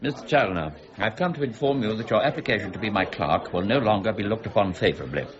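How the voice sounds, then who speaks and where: An actor as a proud, irascible older Englishman, low-voiced. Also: lively intonation, quick pace, a crowd in the background, lightly acted. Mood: threatening, indignant. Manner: brusque, formal, precise, indignant. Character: proud, irascible older male royal mint warden, Isaac Newton. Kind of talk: period radio drama acting. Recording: dull, faintly noisy radio recording.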